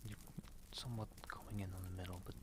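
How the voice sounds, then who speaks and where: Male ASMR host, low-pitched, soft and whispery, very even intonation, casual streamer and storyteller, noisy mic. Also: fast talker. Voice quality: soft, often breaking into whisper. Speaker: live-stream host and reader.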